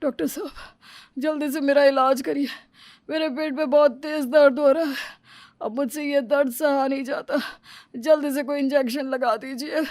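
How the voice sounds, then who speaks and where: A woman's voice: sits high, steady tone, normal speed, a little mellow, no echo, close to an everyday voice. A woman voicing a panicking young husband in pain, with a whiny, pained voice for a cartoon. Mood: groaning. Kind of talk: cartoon voice acting.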